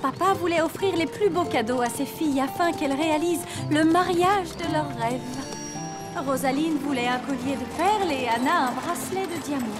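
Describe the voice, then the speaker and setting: A brave young maiden voiced by a woman with a very high, sweet voice. She speaks earnestly and gently, as in a fairy-tale cartoon, with music underneath. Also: normal speed, close to an everyday voice. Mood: explaining. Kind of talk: animated fairy-tale dubbing. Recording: music behind the voice.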